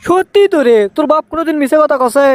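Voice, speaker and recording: A man's voice: extremely high pitch, quick pace, no room sound. A man voicing a village father who scolds and coaxes his grown son, in comic cartoon dialogue with a Bogura accent from northern Bangladesh. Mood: coaxing. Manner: bossy, scolding, then coaxing.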